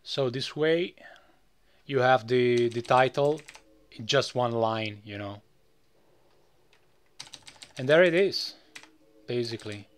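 A man presenting a casual, unscripted software tutorial in a rambling way, often tagging phrases with "you know". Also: mid-range voice, slow pace, long pauses, smooth.

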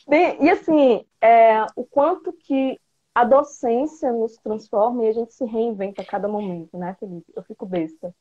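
Woman, 30s, Bahian Brazilian accent, chatty and playful, fairly high voice, earphone mic, slightly muffled call audio. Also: unhurried, mellow. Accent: interior Bahia Brazilian Portuguese. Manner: chatty, playful, gestures a lot. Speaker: guest, biology teacher. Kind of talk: casual video-call interview. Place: small room indoors. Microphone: earphone headset mic on video call.

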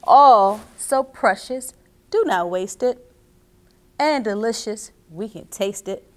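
A middle-aged woman reading and explaining to children as a story time host, expressive and speaking directly to her young listeners. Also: mid-range voice, takes it slowly. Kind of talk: children's read-aloud and instruction. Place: small indoor reading nook with bookshelves.

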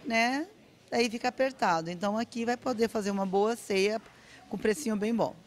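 A woman's voice: mid-range voice, normal speed. A woman, interviewed in a small grocery store on a handheld mic, chatty and tagging on "né". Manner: chatty, with frequent "né". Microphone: handheld reporter mic.

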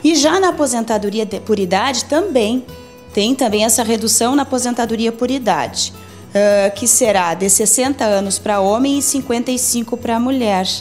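A Brazilian woman in her forties answers interview questions as a lawyer, laying out rules step by step. Her voice sits fairly high. Faint music plays beneath the handheld-mic recording. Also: normal speed, a little mellow. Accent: Brazilian Portuguese. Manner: methodical, explains legal rules step by step. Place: office meeting room.

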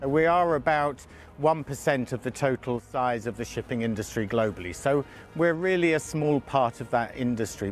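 Middle-aged male industry spokesman. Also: mid-range voice. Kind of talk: interview.

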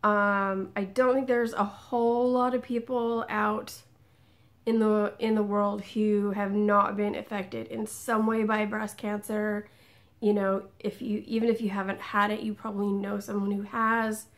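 A woman in her thirties talking casually to camera from a living room couch, chatty, with frequent ums and lively hand gestures. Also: mid-range voice, a little slow. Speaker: vlogger. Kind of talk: casual direct-to-camera vlog.

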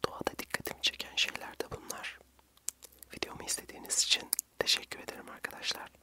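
A young man whispering gently and soothingly in a breathy voice, narrating an ASMR vlog close to the mic. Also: quick pace. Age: young adult. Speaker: ASMR vlogger.

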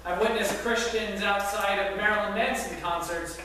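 A man's voice: high voice, steady tone, moderate pace, pushed and bright, heavy reverb, slight background noise. A man preaching a sermon in a church, telling a story earnestly with some fillers, recorded from far back in the church. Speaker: pastor. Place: church sanctuary with congregation. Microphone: far camera at back of church.

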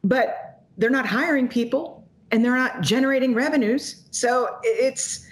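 A woman in her forties, an economist guest, talking emphatically and quickly over a video call from a home office. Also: on the high side. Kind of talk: animated interview commentary. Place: home office in front of a logo backdrop. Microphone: video call webcam.

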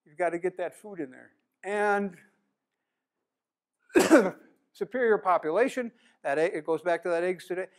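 A man's voice: sits high, normal speed, long pauses. A man in his sixties from the United States giving a plain-spoken conference lecture in a classroom, asking the audience questions as he goes. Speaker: beekeeping speaker.

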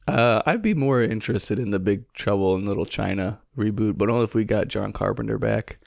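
A man's voice: lowish voice, fairly quick, vocal fry, no echo, clean studio sound. A man co-hosting a podcast, trading crude jokes and laughing often in casual banter. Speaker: podcast co-host.

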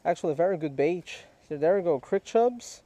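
A man's voice: a little high, expressive, normal speed, mellow, no room sound, highs cut off. Man coaching a kid while fishing. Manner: patient, coaching, quizzing. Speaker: fishing vlogger. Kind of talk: casual teaching chat while fishing. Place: outdoors by a small creek in a park. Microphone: head-mounted action camera.

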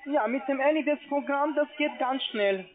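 A man's voice: very high-pitched, moderate pace. A man with a foreign accent, a non-native speaker, walking a customer through steps as a call centre agent. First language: not German. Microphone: phone. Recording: dull, lo-fi phone line.

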